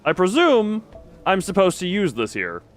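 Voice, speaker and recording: A man's voice: high-pitched, wildly swooping pitch, moderate pace, faint music behind. A man giving casual gameplay commentary to a live chat, rambling and thinking aloud as he plays. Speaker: video game streamer.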